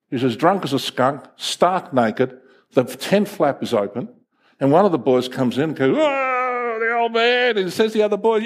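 A middle-aged male pastor, a native Greek speaker, preaching a sermon in an animated storytelling manner. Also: sits high. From Greek heritage, first language Greek. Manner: animated, storytelling with acted voices.

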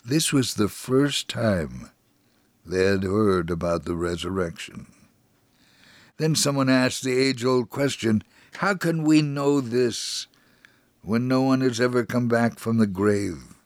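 A man reading a scripted first-person faith story in a measured, solemn manner, as a dramatic narration. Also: medium pitch, lively intonation, no echo. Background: music.

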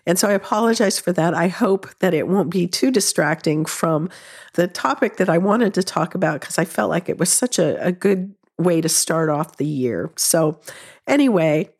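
A woman hosting her podcast, speaking in an earnest, conversational way while congested from a head cold, her throat a little raw and hoarse. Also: fairly low pitch, animated, moderate pace, darkish tone. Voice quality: slightly hoarse. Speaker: podcast host. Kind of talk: solo podcast talk with ad read.

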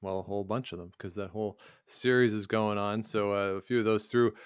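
A man's voice: on the low side, steady tone, fairly quick, smooth, no room sound, clean studio sound. A man with a Canadian accent co-hosting a casual sports talk show and recapping results. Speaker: podcast co-host.

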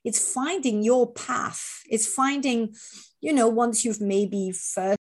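A woman in her fifties hosting an interview podcast, talking in an animated way and often restarting her sentences. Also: a little high, takes it slowly. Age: 50s. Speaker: podcast host. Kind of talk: conversational interview.

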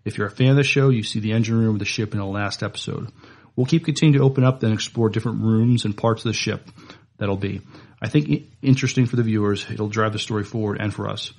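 Gravelly low-voiced male podcast co-host. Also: fairly even intonation, quick pace, a little mellow. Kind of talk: casual chat and news reading.

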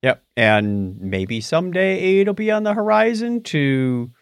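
A man's voice: a little high, big pitch swings, measured pace, smooth, dry recording. Man chatting casually, close dry sound. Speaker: podcast co-host. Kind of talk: casual chat about movies and tech.

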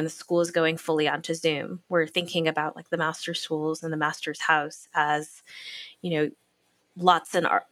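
A woman's voice: low voice, fairly bright tone, no echo. A woman who writes criticism and teaches, speaking in a reflective conversation and searching for her words.

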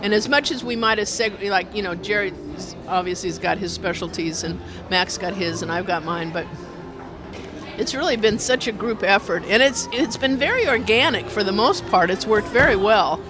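A woman's voice: medium pitch, animated, normal speed. A woman giving a casual interview as a member of a radio station team. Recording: field interview with loud music behind.

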